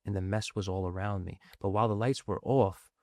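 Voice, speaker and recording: Earnest low-voiced man with a Sicilian background. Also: steady tone, a little fast, darkish tone, no room sound, studio quality. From grew up in a Sicilian family, bilingual. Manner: earnest, often ends phrases with "right?". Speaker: guest speaking on addiction recovery. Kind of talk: casual conversational testimony.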